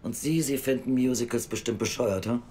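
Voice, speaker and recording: An actress playing a brusque, teasing interviewer in an audio drama, with a deep voice and blunt, informal manner. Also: female voice, moderate pace, warm tone, a little hiss or hum. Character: brusque, teasing woman interviewer who loves musicals. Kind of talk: naturalistic audio drama acting.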